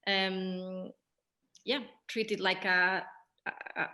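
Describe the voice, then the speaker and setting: A woman's voice: medium pitch, level tone, slow pace, pushed and bright. A woman with a Spanish accent speaking as a theatre director in a panel discussion, thinking aloud with hesitations. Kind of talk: post-show panel discussion.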